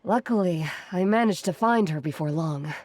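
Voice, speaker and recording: A woman playing a gentle, caring, modest retired artisan in a video game, soft-spoken and measured. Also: on the low side, close to an everyday voice. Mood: apologetic, tender.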